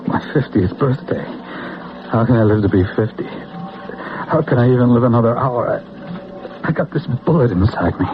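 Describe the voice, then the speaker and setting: A man playing an earnest, bewildered young American in a vintage radio drama, speaking in a halting, incredulous way. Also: medium pitch, animated, noisy background, close to an everyday voice. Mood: bewildered, disbelieving. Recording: muffled old radio broadcast, music.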